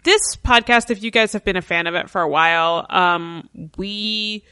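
A woman's voice: mid-range voice, moderate pace, bright, forceful tone, smooth, dry recording, studio quality. A woman hosting a casual podcast chat, talking frankly and laughing often. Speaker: podcast host.

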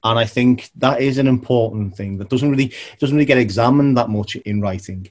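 A man who used to teach, talking in a measured, explanatory way during a conversation, sometimes repeating words as he thinks. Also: mid-range voice. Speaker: former teacher. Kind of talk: reflective conversation.

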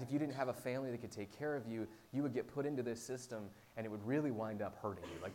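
A man's voice: fairly low pitch, a little mellow. Informal male preacher, noisy recording with hum. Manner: self-deprecating, humorous. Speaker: pastor preaching to a small church. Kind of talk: informal conversational sermon. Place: live talk to a small congregation. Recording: clear background noise with hum.

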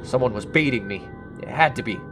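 A man's voice: medium pitch, fairly quick, music in the background, voice pushed up, natural voice. A man playing a guilty, paranoid schemer in a radio thriller monologue, with a nervous, dramatic delivery. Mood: haunted, frantic. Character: paranoid guilty male schemer confessing his crime, increasingly frantic.

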